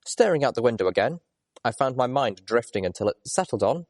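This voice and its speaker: A man reading first-person fiction aloud as an expressive audiobook narrator. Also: mid-range voice, brisk, no echo, clean studio sound. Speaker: audiobook reader.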